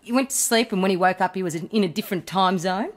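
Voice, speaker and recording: An actress playing a curious, wry, slightly anxious companion in a radio play. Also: female voice, medium pitch, quick pace, natural voice. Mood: curious, uneasy. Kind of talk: radio drama acting.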